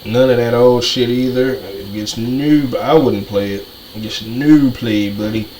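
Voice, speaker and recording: A man's voice: medium pitch, unhurried, a little mellow, rough recording. Ranting man with faint noise behind. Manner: ranting, repetitive, profane. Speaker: commentator. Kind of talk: heated monologue.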